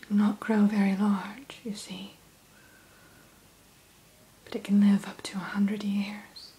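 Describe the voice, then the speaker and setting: Slow whispering woman, ASMR. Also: medium pitch, completely flat delivery. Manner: soft whisper throughout; gentle, unhurried, mildly formal. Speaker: hatchery keeper in roleplay. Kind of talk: ASMR roleplay.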